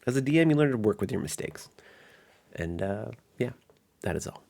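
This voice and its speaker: A man with a fairly low voice talks casually as a tabletop game master. He rambles in a self-deprecating way, restarting his phrases as he goes. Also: lively intonation, normal speed, vocal fry, darkish tone.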